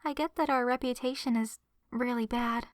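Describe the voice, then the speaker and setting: Succubus best friend for ASMR roleplay, female performer: high voice, soft and hesitant, earnest, very dry close-mic sound. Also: fairly even intonation, normal speed, no room sound, lightly acted. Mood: earnest, confiding. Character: gentle young adult succubus woman, shy lovestruck best friend. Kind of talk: ASMR roleplay, addressed to the listener. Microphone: close microphone in a dry space.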